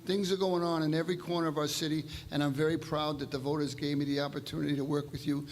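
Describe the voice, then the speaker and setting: An older man, the incumbent mayor, giving a steady and measured candidate speech at a forum. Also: fairly high pitch. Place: church hall forum. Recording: long hall reverb.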